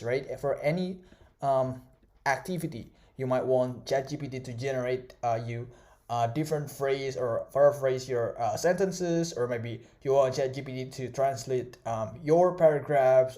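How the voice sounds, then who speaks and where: Mellow-voiced man teaching a course. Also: mid-range voice. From Indonesia. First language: Indonesian. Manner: casual, many fillers and tag questions. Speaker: online course instructor. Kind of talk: screen-recorded tutorial.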